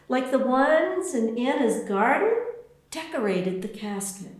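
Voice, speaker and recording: A woman reading a children's storybook aloud as its author, with deliberate, expressive phrasing. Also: mid-range voice. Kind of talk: scripted storybook narration. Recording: very echoey, roomy sound.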